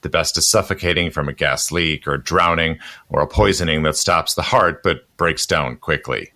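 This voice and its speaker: A man's voice: extremely low pitch, moderate pace, bright, forceful tone, full character voice. A man playing a roguish, imperious protector in an audio drama, urbane, commanding, impatient and darkly flippant. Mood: matter-of-fact instruction.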